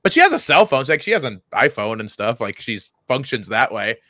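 A man's voice: mid-range voice, sing-song, a little fast, pushed and bright, no echo, studio quality. A man chatting casually and comedically as a podcast co-host, rambling and often interrupting himself, with some swearing. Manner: rambling, self-interrupting, profane.

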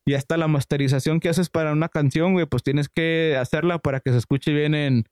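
A laid-back man chats in a slangy, easygoing way. His voice sits a little high and sounds smooth and clear. The recording is close and dry. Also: fairly even intonation, a little fast, a little mellow, no echo. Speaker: podcast host. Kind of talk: casual chat.

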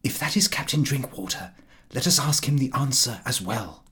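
A man's voice: medium pitch, lively intonation, moderate pace, fairly bright tone, close to an everyday voice. A man voicing a genteel, credulous lady visitor in an audiobook, prim and earnest. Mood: eager.

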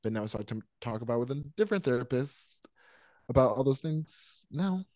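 A man's voice: medium pitch, expressive, fairly quick, a little mellow, no room sound. A man co-hosting a podcast, chatting casually and reflectively about personal things in a probing, questioning way. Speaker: podcast co-host.

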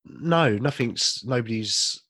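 Chatty man with a husky voice. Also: mid-range voice, lively intonation, takes it slowly, fairly bright tone, dry recording, studio quality. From United Kingdom. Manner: chatty, self-deprecating, laughs often. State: slight cough, a bit chesty. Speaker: podcast co-host. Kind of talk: casual chat.

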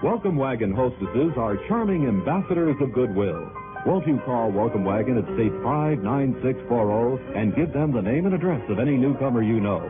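Male ad announcer, medium pitch, lively intonation, vintage radio read, tinny, music behind. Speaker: advertisement announcer.

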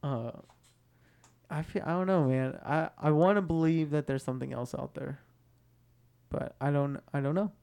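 A man's voice: a little high, normal speed, gravelly, long pauses, darkish tone, clear, resonant tone. A man co-hosting a podcast, chatting casually, easygoing and hesitant, laughing often. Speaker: podcast co-host. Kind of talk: casual chat.

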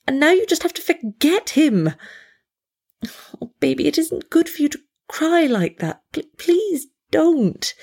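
A woman's voice: high voice, darkish tone, husky, heavily acted. A woman acting a fussy, meddling society lady who gushes and stammers theatrically. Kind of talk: dramatic reading of a stage monologue.

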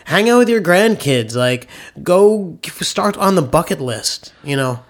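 A man with a nasal, slightly high voice, talking through money on a casual podcast. He explains with quick, opinionated enthusiasm. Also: expressive. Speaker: podcast co-host, author.

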